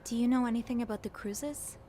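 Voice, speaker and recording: An actress playing a young hotel maid turned amateur sleuth, speaking gently and hesitantly, stammering now and then. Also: female voice, a little high, a little fast, a little hiss or hum, close to an everyday voice.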